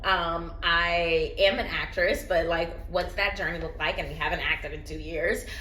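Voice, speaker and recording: A woman records a heartfelt, reflective vlog on her phone at home. Her voice is bright and clear. The room is roomy and echoey, with a faint hum in the background. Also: lowish voice. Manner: earnest and reflective. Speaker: vlogger. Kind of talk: candid personal vlog talk.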